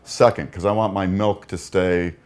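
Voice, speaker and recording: A man with a somewhat low voice co-hosts a live product stream. He talks in a relaxed, chatty way, laughing easily as he steers the talk back to the deal. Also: moderate pace. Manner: easygoing, laughs often, pushes the sale. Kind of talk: casual sales chat on a live stream.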